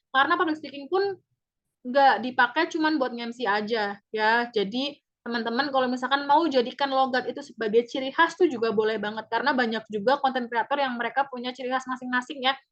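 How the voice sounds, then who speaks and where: A woman, a public speaking trainer giving a chatty, upbeat webinar pitch and repeating key points. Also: quick pace, fairly bright tone. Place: online webinar. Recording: dull lo-fi webinar audio.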